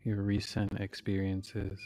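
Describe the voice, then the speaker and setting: A man leading a guided meditation as a teacher, speaking calmly in short, measured phrases with long pauses. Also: lowish voice, low-quality recording.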